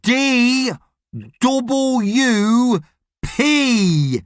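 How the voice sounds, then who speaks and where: A man acts out a shady middle-aged boss from an audiobook. He is gruff and blunt, barking irritably in a raised, animated voice with a very dry, close sound. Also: high-pitched, slow pace, no room sound, voice pushed up, exaggerated voice. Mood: exasperated. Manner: blunt, sweary, shouty. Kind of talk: audiobook character voice.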